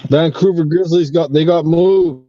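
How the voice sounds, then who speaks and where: A man's voice: a little high, steady tone, normal speed, mellow, no echo, lo-fi sound. A man chatting casually as a caller on a live stream, laid-back and freely swearing. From Canada. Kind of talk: casual chat.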